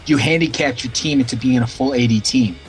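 A man's voice: mid-range voice, brisk, over music. A man coaching a gamer over a voice call in a blunt, needling, slangy way. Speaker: paid game coach. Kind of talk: casual game coaching.

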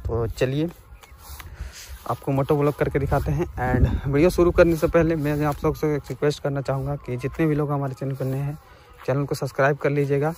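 Higher-pitched man, casual vlogger. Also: steady tone, fairly quick, darkish tone, slight background noise. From India. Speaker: motovlogger. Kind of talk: casual vlog demonstration.

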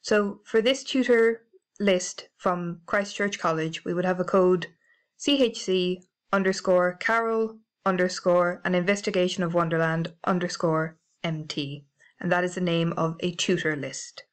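Methodical British woman explaining software. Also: fairly low pitch, fairly even intonation, a little slow. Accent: British English. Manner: clear, methodical, spells out codes letter by letter. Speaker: library staff trainer. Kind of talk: instructional screencast narration.

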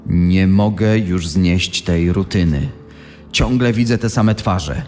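Man playing a slow, weary imprisoned gangster. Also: low-pitched, darkish tone. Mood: weary, bleak. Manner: slow, brooding, heavy pauses. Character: weary male imprisoned gangster reading his letter home. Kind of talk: dramatised documentary reading. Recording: long hall reverb, music underneath.

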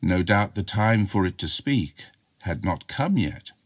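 A man's voice: sits low, sing-song, a little slow, dry recording, clean studio sound. A man narrating a short chiller as an audiobook reader, in a dramatic storytelling style.

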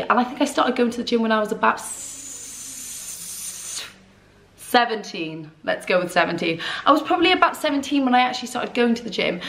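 A young woman, chatting candidly to camera and gesturing as she talks from an armchair in a small dim room. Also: medium pitch. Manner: chatty, candid, expressive hand gestures, swearing. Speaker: vlogger. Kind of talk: casual chat to camera.

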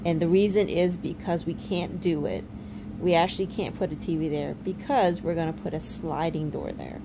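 A woman in her thirties narrating a home renovation video in a relaxed way, with many ums and uhs. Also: on the low side, normal speed, background noise. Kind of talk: casual voice-over narration.

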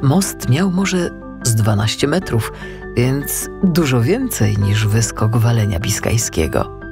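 A man narrating a children's story as an audiobook, with expressive scripted delivery. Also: mid-range voice, takes it slowly, vocal fry, music in the background.

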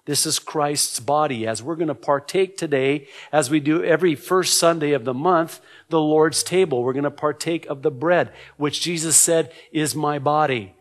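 A middle-aged man, a native Arabic speaker, preaching as a pastor in a measured, explanatory way, repeating key words deliberately. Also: fairly high pitch. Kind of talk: sermon, Bible prophecy teaching. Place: indoor church, at a lectern. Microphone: gooseneck lectern microphone.

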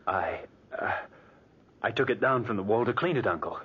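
An actor playing a polite young nephew with quiet scheming under the politeness, in an old radio drama. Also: male voice, lowish voice, animated, moderate pace, slightly rough. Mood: dutiful. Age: young adult. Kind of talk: 1940s radio acting. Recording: dull lo-fi old radio recording.

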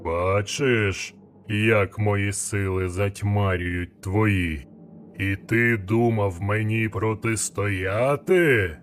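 A man playing a menacing, all-knowing dark sorcerer in a dream, with a dry, hollow voice and a taunting delivery. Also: lowish voice, a little hiss or hum. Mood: menacing, gloating. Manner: very slow, drawn-out taunting delivery.

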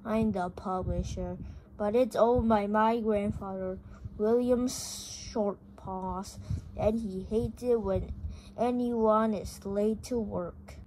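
A high-voiced reader reading a comic story aloud haltingly, stumbling over words, in an amateur home recording. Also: steady tone, darkish tone, a little hiss or hum, low-quality recording. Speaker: video host reading a book aloud.

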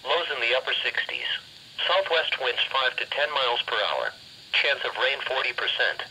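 A synthetic man's voice reading automated weather bulletins formally through a radio speaker, with flat, measured phrasing. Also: mid-range voice, poor audio. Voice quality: synthetic text-to-speech voice. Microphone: camera held above radio. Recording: faint noise, radio speaker re-recorded.

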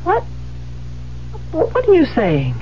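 An actress playing a guilty, evasive wife and novelist in a theatrical 1970s radio drama style. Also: female voice, sits high, huge pitch swings, takes it slowly, a little hiss or hum, full character voice. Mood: startled, bewildered. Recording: muffled old radio broadcast.

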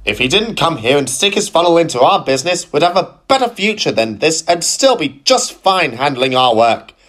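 A man's voice: very high-pitched, animated, slow, fairly bright tone, pitched above the performer's own voice, exaggerated voice. A man voicing a rude, cheeky male engine who sneers and taunts. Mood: grumbling.

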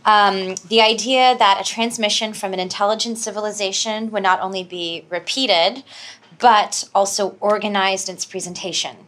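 A woman's voice: mid-range voice, fairly even intonation, normal speed, a bit bright, lightly acted. An actress plays a polite woman researcher in an audio drama. She has a mid-range voice. Her delivery is careful, earnest and slightly apologetic. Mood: earnest, explaining. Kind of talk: naturalistic audio drama acting.

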